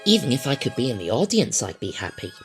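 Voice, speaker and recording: A man playing an eager, restless young adventurer in an audio adventure story, excited and a little wistful. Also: medium pitch, fast, faint music behind. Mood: eager longing.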